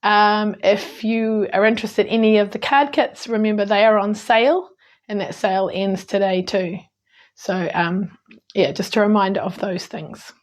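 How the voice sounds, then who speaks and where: Croaky kiwi lady doing a card-making tutorial, chatty, lots of ums. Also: medium pitch, fairly even intonation, moderate pace. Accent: New Zealand. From New Zealand. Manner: chatty, with frequent ums and small slips. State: hoarse. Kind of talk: casual crafting tutorial.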